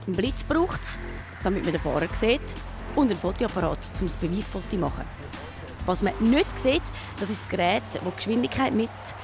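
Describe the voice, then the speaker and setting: Swiss woman narrating over clear music. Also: medium pitch. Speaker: children's TV reporter. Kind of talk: scripted narration for children's documentary.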